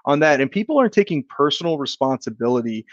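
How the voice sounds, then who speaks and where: A man's voice: fairly high pitch, very animated, fast talker, no echo, lo-fi sound. A man in his thirties, a guest in a casual business interview, talking earnestly and reflectively about his career, with frequent restarts. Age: 30s. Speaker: insurance agency owner, guest.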